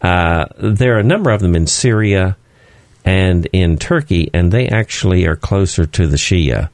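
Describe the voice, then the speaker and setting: A man with a deep, low voice talks as a guest in a radio studio. He explains at an unhurried pace, pausing often on fillers as he searches for words. The sound is close and dry. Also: lively intonation, a little mellow, no room sound. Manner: slow, halting, many "uh" fillers.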